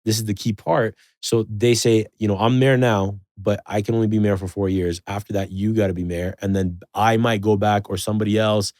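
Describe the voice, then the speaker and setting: A man's voice: fairly low pitch, fairly quick, few pauses, dry recording. A man in a casual podcast conversation, talking in a loose, rambling way with lots of fillers. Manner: rambling, filler-heavy, animated storytelling.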